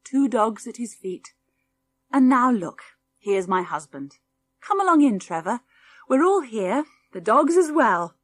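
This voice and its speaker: A woman's voice: on the high side, very animated, measured pace, no room sound, pitched above the performer's own voice. Woman playing a kindly, bustling farmer's wife. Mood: delighted. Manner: soothing, motherly.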